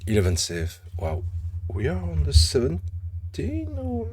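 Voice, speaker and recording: A man's voice: a little high, wildly swooping pitch, measured pace, darkish tone, a little hiss or hum. A man with a French accent talking through a horror game in a casual, rambling way, often correcting himself as he goes. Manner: rambling, chatty, frequent self-corrections. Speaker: gaming channel host. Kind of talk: casual gameplay commentary.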